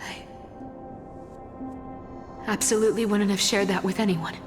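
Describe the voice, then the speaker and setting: A woman's voice: medium pitch, a little slow, natural voice. An actress playing a sly, world-weary female trickster thief in a video game, guarded, proud and knowing. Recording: long reverb under clear music.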